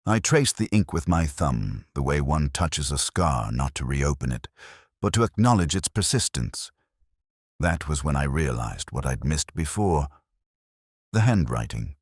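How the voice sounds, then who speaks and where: A man reading a scripted first-person detective story in a measured, brooding, reflective way. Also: rumbling low, very animated, breathy edge, dry recording, clean studio sound. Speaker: narrator.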